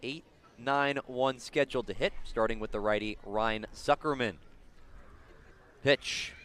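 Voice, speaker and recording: A man's voice: medium pitch, a little slow, a bit bright. A man doing live radio baseball play-by-play as the announcer, outdoors at a ballpark. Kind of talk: live radio sports commentary.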